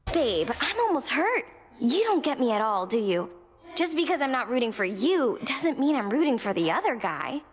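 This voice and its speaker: A flippant, mischievous goddess from a video game, teases in a mock-hurt drawl. Her voice is high and very animated, swimming in reverb over faint noise. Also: normal speed. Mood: taunting. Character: taunting, flippant goddess of strife.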